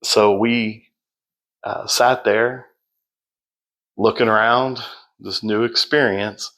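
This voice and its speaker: Unhurried low-voiced man with a projecting voice. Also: fairly even intonation, poor audio. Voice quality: loud, projecting voice. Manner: unhurried, with many fillers and restarts. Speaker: podcast guest. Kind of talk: casual storytelling.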